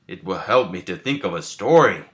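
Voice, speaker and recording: A man voicing an earnest, helpful, slightly hapless male toad from a storybook, speaking deliberately. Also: sits low, lively intonation, fairly bright tone.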